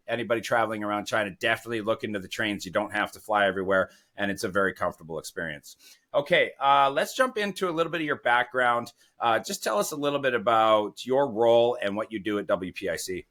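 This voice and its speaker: Bright-voiced chatty podcast host man. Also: on the low side, expressive, brisk. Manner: chatty, enthusiastic, tips and asides. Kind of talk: casual podcast interview.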